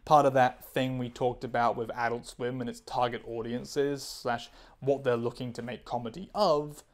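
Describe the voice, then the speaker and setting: A woman's voice: rumbling low, steady tone, moderate pace, darkish tone. A woman reading her own scripted video essay, candid, opinionated and analytical. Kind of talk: scripted commentary.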